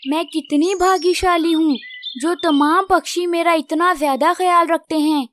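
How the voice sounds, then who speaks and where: A woman voicing a wise, brave little female bird in a children's cartoon, with a gentle, reassuring manner. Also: very high voice, fairly even intonation, moderate pace, a little hiss or hum. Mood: grateful, thoughtful. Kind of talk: Hindi children's cartoon dialogue.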